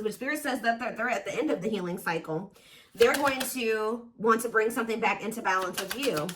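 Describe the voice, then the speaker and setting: Female tarot host, higher-pitched, conversational and assured, speaking straight to viewers over faint background noise. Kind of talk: casual intuitive tarot card reading, speaking to viewers.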